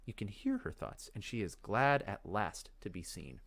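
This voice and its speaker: A man reading fantasy game text aloud as dramatic narration, in a measured, expressive storytelling manner. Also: lowish voice. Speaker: let's play host.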